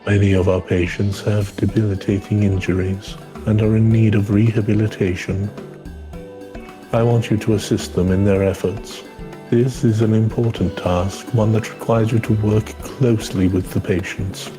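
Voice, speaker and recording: A man playing a calm, gracious knight in a video game, speaking formally and politely. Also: lowish voice, normal speed, over music, close to an everyday voice. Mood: businesslike.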